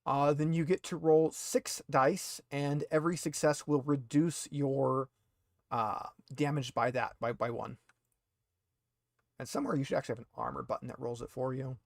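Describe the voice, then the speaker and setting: A man's voice: medium pitch, normal speed, vocal fry, no echo. Informal man running a game, close dry sound. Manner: informal, explanatory. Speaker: game master. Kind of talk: running an online tabletop roleplaying game.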